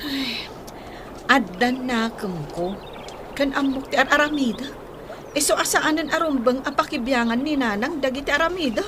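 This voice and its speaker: A woman's voice: high-pitched, moderate pace, noisy background, lightly acted. A woman playing an assertive young daughter-in-law who defends her own ways in an Ilocano radio drama. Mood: annoyed.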